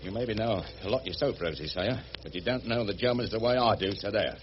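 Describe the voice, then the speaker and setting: An actor, rambling along in folksy, colloquial speech. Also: male voice, fairly low pitch, brisk, close to an everyday voice. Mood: confiding, explaining. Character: rough, good-hearted working-class boatman, chatty and affectionate. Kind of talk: radio drama acting. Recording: muffled radio drama recording with background noise.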